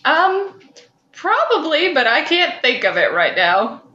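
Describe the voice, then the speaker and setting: A young woman co-hosting a podcast in casual chat, with hesitant, halting phrasing. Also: high-pitched, sing-song, sharp tone, muffled recording. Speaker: podcast co-host.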